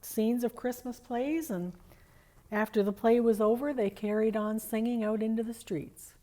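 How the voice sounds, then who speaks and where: A woman's voice: on the high side, fairly even intonation, normal speed, warm tone, natural voice. A woman playing a cheerful, doting Mrs. Claus, chatting and reading aloud in an encouraging, cooing manner. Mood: chatty. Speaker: co-host and storyteller. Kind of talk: casual chat and reading aloud.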